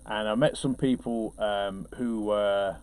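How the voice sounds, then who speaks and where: A man's voice: on the low side, steady tone, takes it slowly, a little mellow, clean tone, no echo. A man being interviewed as a DJ, telling casual anecdotes in a chatty, self-deprecating way.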